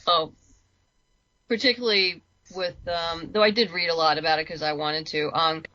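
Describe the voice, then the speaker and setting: Bright-voiced woman chatting, slightly dull audio. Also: on the low side, fairly even intonation, moderate pace, no echo. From lives in Los Angeles. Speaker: interview guest, writer. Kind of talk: casual conversational chat.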